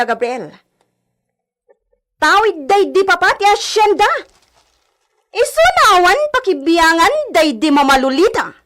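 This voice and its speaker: Haughty angry rich heiress in a radio soap, super high sharp voice, bossy and emotional. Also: female voice. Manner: imperious, emotional. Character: haughty, furious heiress guarding her hacienda inheritance. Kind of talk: melodramatic Philippine radio drama acting.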